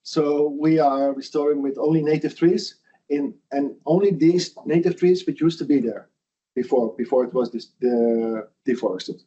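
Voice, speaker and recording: A man's voice: on the high side, moderate pace, dark tone, slightly rough. A middle-aged man from the Netherlands, a foundation founder giving a lunch lecture in an earnest, conversational way with frequent restarts. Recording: dull lo-fi sound.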